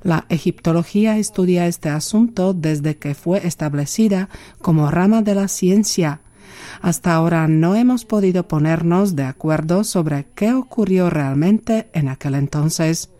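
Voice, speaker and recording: A woman reading a first-person voice-over translation of an interview answer in a calm, even, explanatory way. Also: on the low side, measured pace.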